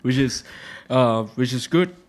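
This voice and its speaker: A young man from Thailand, chatting casually on a podcast in a relaxed, hesitant way with lots of fillers. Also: mid-range voice, unhurried. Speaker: guest musician.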